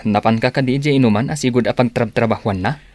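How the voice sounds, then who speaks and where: A man's voice: mid-range voice, fast, a little mellow, no echo, lightly acted. An actor playing a young man's loyal buddy in a radio drama, blunt and full of advice. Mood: advising.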